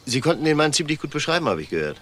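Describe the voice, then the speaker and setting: An actor playing a middle-aged widowed husband in a crime drama, measured, halting and evasive. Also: male voice, on the high side, very animated, fairly bright tone, a little hiss or hum. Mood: composed, businesslike. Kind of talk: German television crime drama acting.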